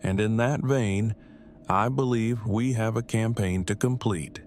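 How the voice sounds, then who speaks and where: A man's voice: fairly low pitch, measured pace, a little mellow, slight background noise, lightly acted. A man playing a wordy, self-deprecating, heartbroken nerd who speaks in stilted formal phrases in an audio drama. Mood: resigned, earnest.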